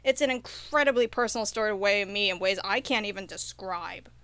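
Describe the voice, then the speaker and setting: A woman's voice: mid-range voice, a little fast, fairly bright tone, smooth, no room sound. A woman speaking as a podcast guest and indie developer, chatting casually in an enthusiastic, earnest way. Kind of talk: casual interview chat.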